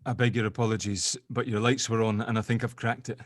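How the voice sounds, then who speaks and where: A man's voice: medium pitch, level tone, fast talker, no room sound, natural voice. Man acting exasperated, earnest amateur sleuth, dry recording. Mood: explaining. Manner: exasperated, hesitant. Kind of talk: audio drama table read.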